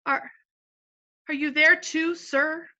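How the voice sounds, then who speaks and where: A woman voicing a startled young boy who asks eager, hesitant questions, pitched well above her own voice. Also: fairly even intonation, slow pace, bright, forceful tone, exaggerated voice, poor audio.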